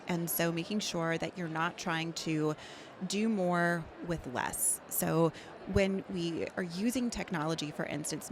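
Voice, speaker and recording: A woman speaking as an expert guest in an interview, giving emphatic, instructive advice in a conversational way. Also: fairly low pitch. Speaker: practice management consultant and guest speaker. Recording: clear background noise, urban ambience.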